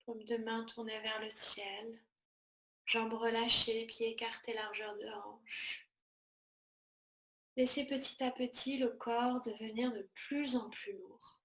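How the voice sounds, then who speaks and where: Slow, high-voiced woman guiding relaxation. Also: flat delivery, bright, forceful tone, echoey room, poor audio. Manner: soothing, measured, short phrases with pauses. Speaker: yoga nidra teacher. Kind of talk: guided relaxation meditation.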